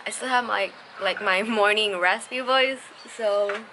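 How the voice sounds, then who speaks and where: A woman's voice: mid-range voice, unhurried. A young woman chatting casually to camera in a home vlog, rambling with fillers. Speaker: family vlogger. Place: home kitchen and outdoor patio. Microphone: handheld camera at arm's length. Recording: noisy home video audio.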